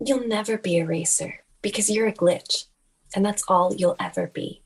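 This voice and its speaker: Woman as a husky, snooty mean-girl racer. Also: medium pitch, moderate pace. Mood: cruel. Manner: condescending, mocking. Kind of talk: animated film table read.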